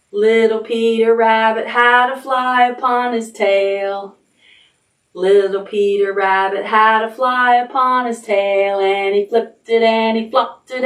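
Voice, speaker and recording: An American woman leading storytime for toddlers, speaking in a sing-song read-aloud style with simple prompts for small children. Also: medium pitch.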